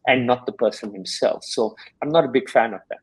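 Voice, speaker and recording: Man, husky voice, performance coach, reflective, explains with examples. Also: medium pitch, fairly quick. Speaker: sports scientist and performance coach. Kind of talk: interview answers.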